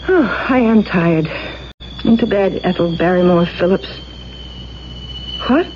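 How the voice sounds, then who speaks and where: An actress playing an eager, romantic young stage hopeful, earnest and hesitant, in an old-time radio drama. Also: female voice, on the low side, animated, slow. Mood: drowsy wonder. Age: young adult. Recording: dull, noisy old radio recording.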